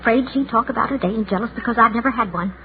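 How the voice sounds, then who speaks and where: A woman's voice: a little high, rapid-fire, close to an everyday voice. An actress playing a shy, anxious, judgmental lonely woman of thirty in a brittle, fretful 1940s radio drama style. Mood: bitter, fretful. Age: 30s. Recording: noisy, narrow-band 1940s radio recording.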